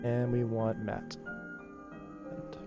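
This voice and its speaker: A man's voice: on the low side, level tone, takes it slowly. A young adult man chatting casually on a livestream while he works, rambling along in a jokey, self-deprecating way. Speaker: animator streaming his work. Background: background music.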